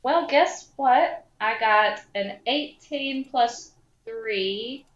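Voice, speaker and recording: A woman's voice: a little high, extremely slow. A woman chatting casually as a player in a tabletop game, recorded in a roomy, slightly dull sound. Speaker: podcast host playing a tabletop game. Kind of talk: casual chat.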